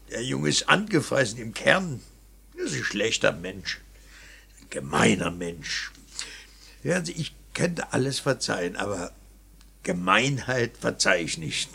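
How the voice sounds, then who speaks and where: An actor playing a stern, embittered older painter in a German radio play, gravelly and husky, speaking in emphatic repetitions. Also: male voice, medium pitch, pushed and bright, heavily acted. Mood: bitter, condemning. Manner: blustery, emphatic, repetitive, muttering asides.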